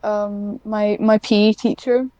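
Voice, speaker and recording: A woman talks casually. Her voice is clean and smooth, recorded very dry. She wanders off track and pokes fun at herself. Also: mid-range voice, flat delivery, slow, warm tone, no echo, highs cut off. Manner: rambling, self-deprecating, easily sidetracked. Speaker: podcast guest, ASMR script writer. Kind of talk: casual chat.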